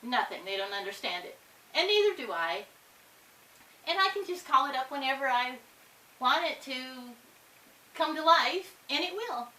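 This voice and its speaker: A woman's voice: high-pitched, animated, moderate pace, long pauses, pushed and bright, reverberant. A woman chatting casually in a home video, telling a rambling story, recorded from some distance in a room with light hiss. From Iowa. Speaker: vlogger.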